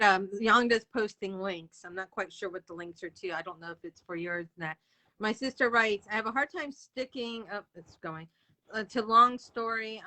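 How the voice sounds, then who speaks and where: A woman's voice: medium pitch, sharp tone. A chatty, informal woman hosting a casual live interview. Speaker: interviewer and live-show host. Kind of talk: casual chat.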